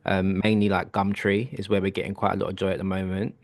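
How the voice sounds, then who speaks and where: A man coaching clients on a video call, explaining steps in a relaxed, reassuring way. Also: sits low, quick pace, vocal fry, no room sound. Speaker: property business coach.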